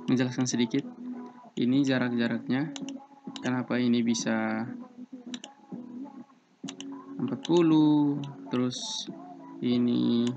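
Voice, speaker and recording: A young man with an eastern Indonesian accent, walking students through an assignment in a relaxed, halting way with many fillers. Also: mid-range voice. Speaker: civil engineering student tutor. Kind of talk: screen-recorded tutorial walkthrough. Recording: dull lo-fi mic with guitar music behind.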